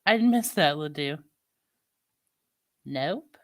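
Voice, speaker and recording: A woman with a St. Louis accent in casual chat with viewers, talking in a slow, drawling way. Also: low voice, lively intonation. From Midwestern United States. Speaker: streamer chatting with viewers.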